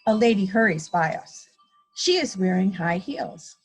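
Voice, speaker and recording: A woman's voice: mid-range voice, lively intonation, unhurried, slightly rough, soft background music, low-quality recording. A woman reading a picture book aloud to young children, explaining things simply and playfully acting out sound effects. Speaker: guest storybook reader, a mother. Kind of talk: read-aloud children's story time, simple teacherly explaining.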